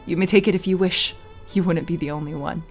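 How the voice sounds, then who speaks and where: A woman's voice: on the low side, fairly even intonation, fast, faint music behind, voice pushed down. A woman voicing a defiant young captive maiden, frightened but proud, speaking earnestly.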